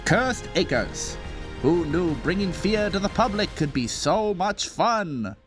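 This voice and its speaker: A man's voice: high voice, expressive, slow pace, soft background music. An actor playing a grandiose, cheerful theme park showman, narrating a scripted promotional video. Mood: grand, inviting.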